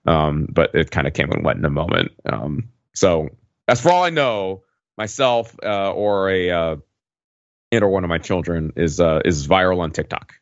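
Middle-aged male panelist, deep voice, hesitant casual storyteller, close dry but slightly muffled sound. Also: lively intonation, normal speed, no echo. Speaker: podcast panelist.

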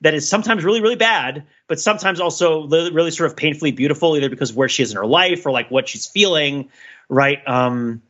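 A man's voice: a little high, quick pace, lo-fi sound. A man co-hosting a casual pop culture discussion, telling stories in a rambling way as he searches for words and checks that the listener follows. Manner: rambling, searching for words, animated storytelling with frequent check-ins. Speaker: podcast co-host.

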